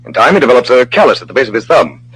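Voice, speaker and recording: A confident sleuth from an old radio mystery. His voice is a little rough and swings expressively as he lays out each deduction methodically, pausing for dramatic effect on an old broadcast recording. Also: medium pitch, very animated, fairly bright tone, exaggerated voice, poor audio. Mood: confident, explaining. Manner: methodical, dramatic, builds to reveals. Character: sharp, self-assured male magician-detective explaining his deductions.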